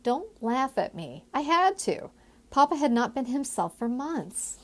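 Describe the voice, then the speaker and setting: A woman's voice: high voice, very animated, a little slow, voice pushed up, exaggerated voice. Lady doing a young historical romance heroine, guarded n witty, bit tart, voice swings up a lot. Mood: defensive, tart.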